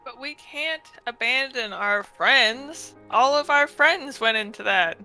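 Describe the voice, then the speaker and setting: A man's voice: very high voice, slow pace, sharp tone, low-quality recording. A man chatting casually as a co-player during a video game stream. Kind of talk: casual chat during gaming. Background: faint game music.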